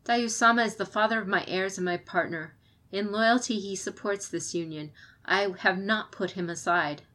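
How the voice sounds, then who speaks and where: A woman's voice: medium pitch, normal speed, sharp tone, close to an everyday voice. A woman reading the lines of a proud, dutiful female clan champion in an audiobook. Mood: firm.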